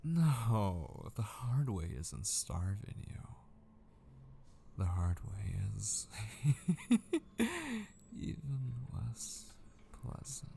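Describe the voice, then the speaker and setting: A deep, crackly-voiced male captor played by a man in an ASMR roleplay, obsessive and menacing. He talks slowly and darkly, taunting his captive and often sinking to a whisper. A faint noise sits behind the voice. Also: huge pitch swings, creaky, warm tone, heavily acted. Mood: chilling, controlled. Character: obsessive mortal male yandere kidnapper, menacing and possessive. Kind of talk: intimate ASMR roleplay acting.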